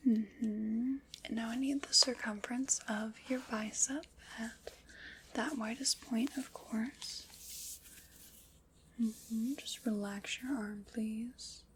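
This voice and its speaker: A woman whispering as a calm, polite armor fitter who is taking careful measurements in an ASMR roleplay. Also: fairly high pitch, a little hiss or hum, rough recording. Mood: gentle, attentive. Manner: soft whispering, slow and attentive.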